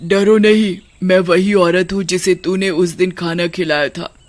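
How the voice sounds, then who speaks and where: A kind witch ghost played by a man speaks in a high voice. She is gentle and reassuring, a motherly spirit from an animated storybook tale. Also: measured pace. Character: kind female witch ghost, grateful then helpless mother.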